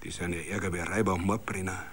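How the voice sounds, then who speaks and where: A man's voice: sits low, level tone, quick pace, a bit bright, slight background noise, pitched below the performer's own voice. A man voicing a shaken village mayor with a Bavarian accent, stammering and toneless with bitter despair in a radio story. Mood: stunned bitterness.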